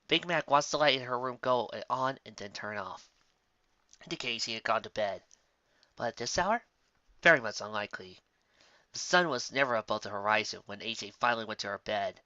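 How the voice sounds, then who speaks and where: Forceful male story narrator, lo-fi sound. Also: mid-range voice, no echo. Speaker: fan fiction narrator. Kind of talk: expressive scripted story narration.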